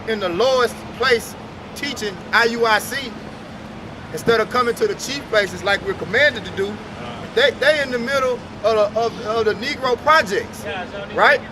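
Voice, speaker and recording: A man's voice: sits high, moderate pace. A man street preaching, firing off rhetorical questions and urging his listeners. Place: outdoors on a city street. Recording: outdoor street recording with traffic noise.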